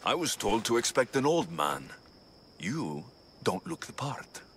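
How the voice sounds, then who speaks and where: An actor playing a tough, sardonic, protective mercenary of ancient Greece in a video game cutscene, blunt and commanding. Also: male voice, medium pitch, big pitch swings, a little slow, fairly bright tone, a little hiss or hum. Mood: cool, dismissive. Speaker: mercenary hero.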